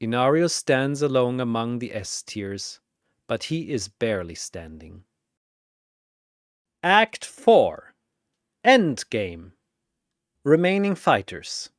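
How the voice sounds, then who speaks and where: A male narrator delivers dramatic battle storytelling in short, theatrical phrases. His pace is unhurried and his pitch very animated, with a dry, close sound. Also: no room sound. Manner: theatrical, clipped announcer phrasing.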